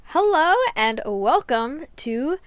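A woman's voice: high voice, big pitch swings, slow pace, very noisy, full character voice. A woman voicing a bossy, bubbly young girl hosting a doll cooking show, with a chirpy presenter manner. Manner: chirpy, showy presenter voice, talks over others. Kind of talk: doll stop-motion voice acting.

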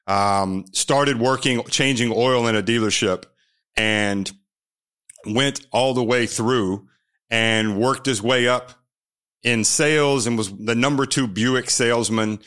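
A man's voice: medium pitch, slow pace, fairly bright tone, clean tone. An American man in his thirties, a podcast guest telling his life story candidly, often repeating words as he starts a sentence.

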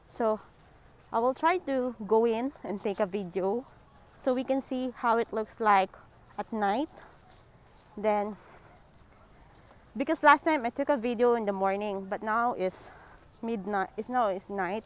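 Slow-talking young woman vlogger. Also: mid-range voice. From Singapore. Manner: slow, chatty, simple phrasing. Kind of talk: casual vlog narration. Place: outdoors at night in a city. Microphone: wired earphone mic, phone at arm's length.

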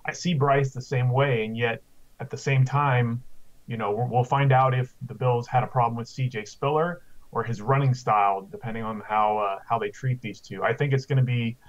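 A man's voice: mid-range voice, steady tone, moderate pace. A man in his thirties giving casual, conversational fantasy football analysis over a video call from a room at home. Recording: slightly dull video-call audio.

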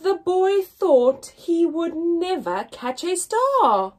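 A young woman reading a picture story aloud for small children, expressively, with deliberate pauses and emphasis and a slightly husky voice. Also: very high-pitched, sing-song, darkish tone. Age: young adult. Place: indoors, small room with painted backdrop.

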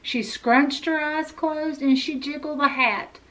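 A woman's voice: sits high, steady tone, slow, a bit bright. A middle-aged woman reading a children's picture book aloud expressively, as a library storyteller. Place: indoor room with full bookshelves.

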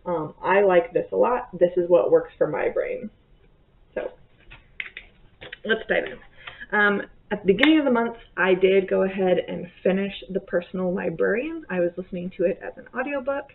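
Woman, 30s, casual book chat, self-correcting, gravelly fry, tinny lo-fi webcam audio. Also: medium pitch, moderate pace, a little hiss or hum. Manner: rambling, self-correcting, chatty. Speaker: book vlogger. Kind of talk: casual monthly reading wrap-up. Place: bedroom or home office, indoors. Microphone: webcam at desk. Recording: tinny phone-like sound.

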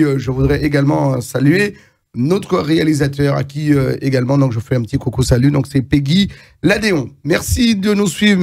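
A man's voice: fairly high pitch, creaky, darkish tone. A middle-aged man hosting a studio TV interview, introducing his guest in a hesitant way with many fillers and restarts. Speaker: TV show host. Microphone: lapel mic.

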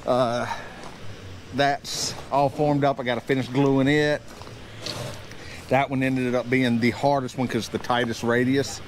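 A man's voice: mid-range voice, little pitch movement, measured pace, pauses often. A man in his thirties casually narrating a walkthrough as a builder, in an easygoing, folksy and somewhat hesitant way. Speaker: builder hosting a build vlog. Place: outdoor construction site. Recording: outdoor site noise, faint vehicle sounds.

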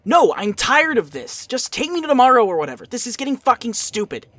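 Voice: medium pitch, very animated, a little fast, a bit bright, dry recording. Irritable, swooping young troll voiced by an actor. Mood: exasperated. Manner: exasperated, sarcastic, snapping. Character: irritable, foul-mouthed young male troll, impatient and sarcastic. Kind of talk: animated comic dub voice acting.

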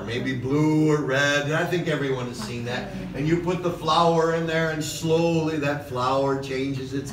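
A middle-aged man preaching a sermon from a podium in a large church hall, passionate, with "okay?" asides and slow emphatic lines. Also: a little high. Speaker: pastor. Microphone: far camera in the hall. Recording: echoey hall recorded from a distance with noise.

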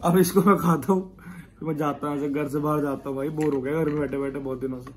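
A young man with a slightly high voice, chatting through his daily vlog while holding the phone camera at arm's length. Faint noise sits behind his voice. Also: steady tone, fast. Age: young adult. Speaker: vlogger. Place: small room at home and outdoors.

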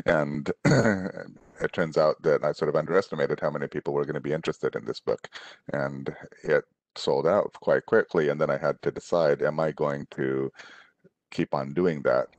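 A middle-aged man explaining things in a casual, halting, thoughtful way as a guest author answering questions on a video call. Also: a bass voice, darkish tone, dry recording. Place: small room at home, indoors, on a video call. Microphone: headset on a video call.

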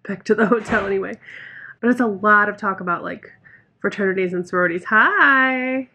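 A woman's voice: mid-range voice, brisk, bright, forceful tone, husky. Woman in her thirties, casual book vlog, chatty and frank, phone held at arm's length. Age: 30s. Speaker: book vlogger. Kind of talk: casual reading vlog chat. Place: hotel room and car, indoors. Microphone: handheld phone camera at arm's length.

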